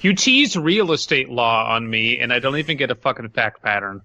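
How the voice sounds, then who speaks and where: Bright, dry-sounding man reading and riffing. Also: medium pitch, lively intonation, normal speed, dry recording. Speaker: podcast host. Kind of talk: casual banter and comedic story reading.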